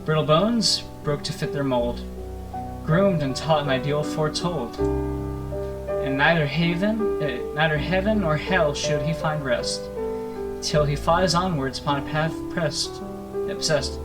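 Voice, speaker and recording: Theatrical higher-voiced man narrating over loud music. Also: unhurried. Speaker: gaming video host reading a visual novel aloud. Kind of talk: expressive storybook-like narration with casual asides. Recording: music playing behind the voice. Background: plucked guitar game music.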